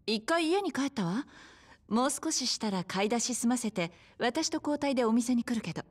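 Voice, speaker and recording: A woman playing a warm, teasing, motherly bar hostess in a Japanese game drama, easygoing and familiar. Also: a little high, lively intonation, moderate pace. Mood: relaxed, caring. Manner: easygoing, familiar, gently chiding.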